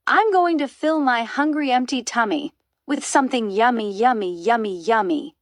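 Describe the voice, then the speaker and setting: A woman's voice: on the high side, animated, moderate pace, no room sound, studio quality, pitched above the performer's own voice, close to an everyday voice. A woman voicing an enormous greedy, boastful crocodile in a storybook, gloating and savouring every word.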